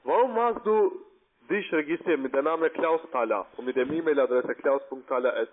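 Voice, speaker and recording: A man's voice: fairly high pitch, fairly quick. A man working as a call centre agent, speaking on a sales phone call. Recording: tinny noisy phone line.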